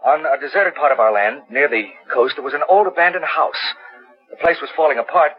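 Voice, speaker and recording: A man's voice: a little high, fairly quick, lightly acted. An actor playing an earnest, frightened man pleading insistently for help in a 1940s radio drama. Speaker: actor in a detective radio serial. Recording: muffled 1940s radio recording.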